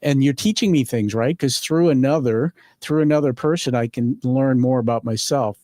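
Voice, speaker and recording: A man's voice: medium pitch, moderate pace, dark tone, no echo, clean studio sound. A man hosting an interview in a conversational way, effusive and encouraging, drifting into rambling asides. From born on a military base in the UK. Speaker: podcast host.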